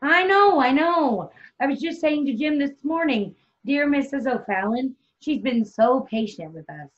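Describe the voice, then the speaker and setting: A woman's voice: sits high, animated, unhurried. A woman playing an anxious, devoted young wife in a stage play table read, with a genteel, earnest, placating manner. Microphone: video call. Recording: dull video-call audio.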